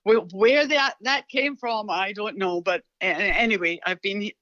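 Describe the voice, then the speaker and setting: An older woman, in her seventies, speaks with a faint Scottish lilt and tells stories gently, pausing to restart her phrases. The sound is a touch dull and lo-fi, and the room is dry. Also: mid-range voice, no room sound. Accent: slight Scottish. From Scotland. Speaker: guest artist. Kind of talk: podcast interview.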